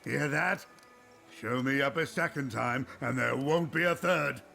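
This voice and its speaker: An actor playing a gruff, hot-tempered warrior devoted to his god in a fantasy video game, with a deep gravelly voice and blustering, emphatic delivery. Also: unhurried. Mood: menacing, growling. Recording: game music behind the voice. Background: loud game music.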